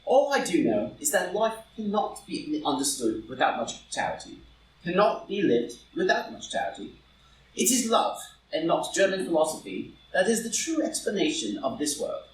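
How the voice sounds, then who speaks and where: A man playing a witty, idle English lord and loyal friend in a live reading of a stage comedy, speaking haltingly with pauses. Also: sits high, very animated. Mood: earnest. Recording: roomy, distant, noisy live group recording.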